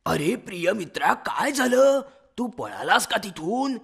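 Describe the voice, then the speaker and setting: A cunning male fox in a children's storybook, played by a woman, coaxing and flattering. He drawls slowly in a lowered, gravelly voice with a husky, breathy edge, and his pitch swoops up and down as he wheedles. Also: exaggerated voice. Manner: sweet-talking, coaxing. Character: sly scheming male fox minister. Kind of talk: storybook character voice.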